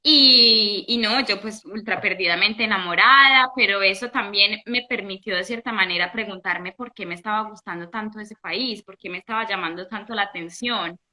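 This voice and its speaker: A young woman from Italy, a guest in a casual interview, telling her story in an animated way with many restarts. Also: medium pitch, normal speed, hardly any pauses. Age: young adult.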